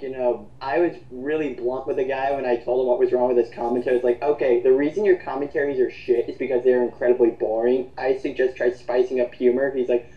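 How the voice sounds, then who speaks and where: A man delivers casual, mocking commentary. He is blunt and sarcastic and swears freely. The sound is lo-fi and dull, with plenty of room echo around his voice. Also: mid-range voice. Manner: blunt, sarcastic, foul-mouthed.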